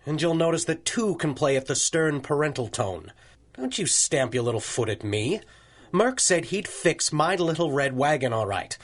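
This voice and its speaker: An actor playing a stubborn, sardonic judge in a 1930s-style radio drama, with a dry, brusque way of talking. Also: male voice, a little high, big pitch swings, moderate pace. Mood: wry, brusque.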